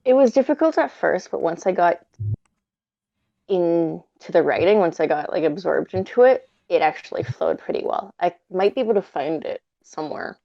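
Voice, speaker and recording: A young woman with a low, gravelly voice full of vocal fry speaks hesitantly and keeps correcting herself. Her tone is dark and mellow, and she comes through a dull, lo-fi mic. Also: lively intonation, normal speed, no room sound. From Canada. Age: young adult. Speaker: podcast guest writer. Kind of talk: casual chat.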